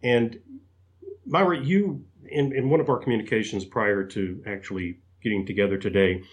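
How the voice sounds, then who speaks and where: A man's voice: lowish voice, normal speed. A man hosts an interview, asking long, rambling, circling questions with many restarts. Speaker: podcast host, interviewer. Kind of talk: conversational interview.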